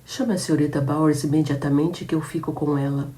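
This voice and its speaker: A woman reader voicing a calm, efficient man who reassures and gives firm instructions. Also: low voice, fairly quick, distant mic, natural voice.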